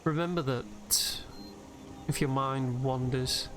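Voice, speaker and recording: Slow, even-toned man guiding sleep meditation. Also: mid-range voice, music in the background. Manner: soothing, unhurried, gentle. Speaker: host and narrator of a game meditation video. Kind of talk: guided sleep meditation commentary over gameplay. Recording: steady background noise, game nature ambience. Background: game ambience of river and birdsong.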